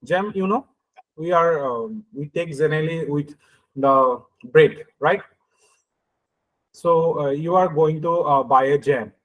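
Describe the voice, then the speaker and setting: A man with an Indian accent lectures in a fairly high-pitched voice. He explains things through everyday examples, moving haltingly with frequent hesitations and short checks for understanding. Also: fairly bright tone, poor audio.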